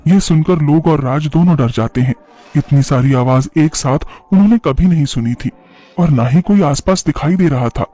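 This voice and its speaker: A man's voice: a little high, normal speed, dark tone, soft background music. A man narrating a scripted horror story.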